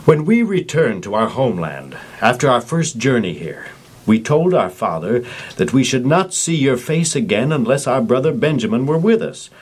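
Judah, a humble pleading brother. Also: male voice, mid-range voice, sing-song, moderate pace, husky. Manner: earnest, pleading.

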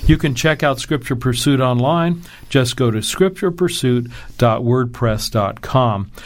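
A man hosting a Bible discussion program, reading a scripted opening and then interviewing a guest in an earnest, measured tone. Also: mid-range voice. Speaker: radio Bible program host.

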